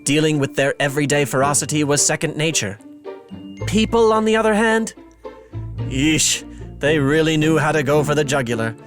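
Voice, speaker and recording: A man's voice: on the high side, animated, moderate pace. A man narrating a scripted fantasy audio drama in a wry, dramatic storytelling manner. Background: music.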